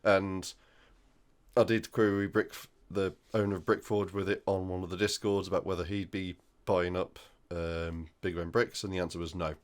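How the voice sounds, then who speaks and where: Croaky, deep-voiced man, recovering from flu. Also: little pitch movement, moderate pace. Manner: hesitant, many ums and you-knows. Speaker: hobby channel host. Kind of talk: casual news roundup.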